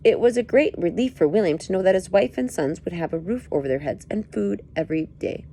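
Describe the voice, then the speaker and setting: Lively, playful woman reading aloud. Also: mid-range voice, a little fast, slight background noise. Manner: playful, joking asides. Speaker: reader. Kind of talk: reading a book aloud with asides.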